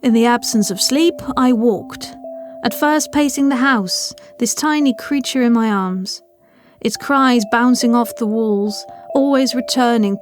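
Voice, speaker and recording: A woman with a fairly high voice reads first-person horror fiction as a story narrator. Music runs underneath her scripted narration.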